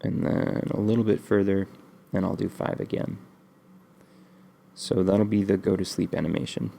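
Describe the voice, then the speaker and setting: A man hosting a screen-recorded game coding tutorial, stuffy from a cold, talking in a relaxed, rambling way with many ums. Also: low-pitched, moderate pace, vocal fry. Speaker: game development tutorial host.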